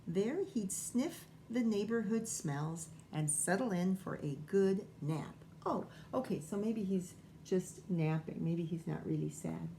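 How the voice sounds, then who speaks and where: A woman's voice: on the low side, animated, a little slow, creaky, few pauses, warm tone, a little hiss or hum. A woman schoolteacher reading a children's picture book aloud, informally thinking aloud and wondering as she goes.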